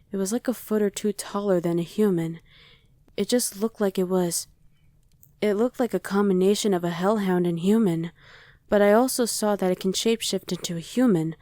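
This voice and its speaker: A woman's voice: fairly low pitch, dark tone, no echo, lightly acted. A woman playing a casual young female survivor of a demon invasion in an ASMR roleplay. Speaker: voice actor.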